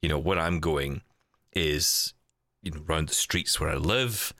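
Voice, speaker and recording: A man's voice: very deep voice, unhurried, pushed and bright, no room sound, studio quality. A man in casual, reflective conversation, explaining things in a rambling way with tag questions. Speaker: podcast co-host.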